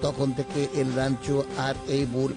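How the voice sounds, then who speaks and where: A man's voice: mid-range voice, monotone, normal speed, music in the background, lightly acted. A man playing a solemn guide who presents exhibits in a dubbed cartoon. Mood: solemn, presenting.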